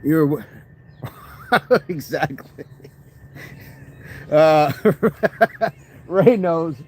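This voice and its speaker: A man chats casually on a fitness livestream, answering viewer comments and thanking people by name. His speaking voice sits a bit high for a man. He laughs easily and keeps the talk loose and friendly. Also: animated, drawn-out words, slightly rough.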